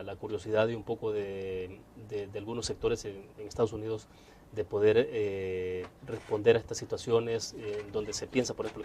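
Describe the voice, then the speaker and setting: A male interview host with a slightly low voice. He talks quickly in a conversational, wordy style that circles around each question. Noticeable noise sits behind his voice. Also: a little mellow.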